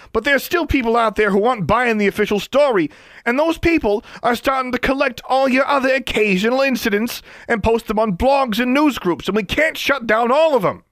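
A man voicing an indignant middle-aged government official who turns smug and then shouts in a fury. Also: a little high, no room sound, pitched above the performer's own voice. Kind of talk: audiobook character voice.

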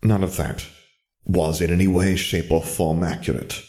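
A man voicing a bitter, arrogant dark wizard for an audiobook, soft yet commanding, ranting with scornful, precise words. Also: low voice, level tone, normal speed, vocal fry. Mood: cold, exasperated. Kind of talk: audiobook character voice.

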